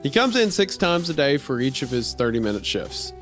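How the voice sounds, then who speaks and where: A man reading as a deadpan gas station clerk, telling a strange story in the first person in a dry way. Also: medium pitch, fairly quick. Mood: dry, puzzled. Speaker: podcast host reading a horror story aloud. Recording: music behind the voice.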